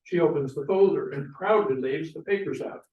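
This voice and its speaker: A man's voice: fairly high pitch, normal speed. A man reading stage directions as scripted narration at a table read of a play. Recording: muffled, distant, echoey, faint noise.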